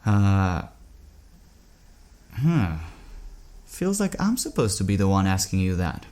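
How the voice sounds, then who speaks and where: A man's voice: sits low, sing-song, takes it slowly, a little mellow, exaggerated voice. An actor playing a weary, affable man driving at night in an audio drama, conversational, hesitant and wry. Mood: wry, friendly. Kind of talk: audio drama acting, narration and dialogue.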